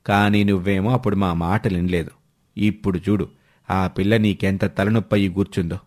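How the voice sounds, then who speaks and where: A man voicing a blunt, overbearing older uncle who scolds colloquially in an animated village story. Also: low-pitched, moderate pace, voice pushed down. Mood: scolding, pushy.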